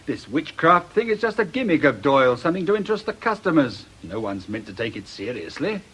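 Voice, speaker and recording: An actor playing a sceptical, level-headed British police inspector in a radio drama, dismissive and exasperated. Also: male voice, on the high side, very animated, a little fast, fairly bright tone, slightly rough, exaggerated voice.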